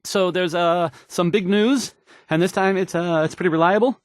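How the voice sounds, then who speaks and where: A man's voice: sits high, dry recording, clean studio sound, lightly acted. A man playing an awkward, unsure young radio DJ in a video game, stumbling hesitantly through news reports. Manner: halting, stammering, hesitant, trailing off.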